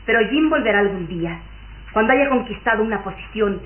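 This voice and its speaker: An actress playing a proud, lovestruck young daughter, earnest and indignant, in a radio drama. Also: female voice, mid-range voice, expressive, brisk, natural voice. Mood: hopeful, earnest. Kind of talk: Mexican radio drama acting. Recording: muffled, faintly noisy old radio recording.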